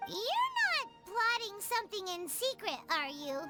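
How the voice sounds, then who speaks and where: A woman voicing a small, childlike fairy companion in a video game, perky, expressive and easily huffy. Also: very high voice, unhurried, full character voice. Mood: suspicious, huffy. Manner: speaks of self in third person, expressive. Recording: music behind the voice.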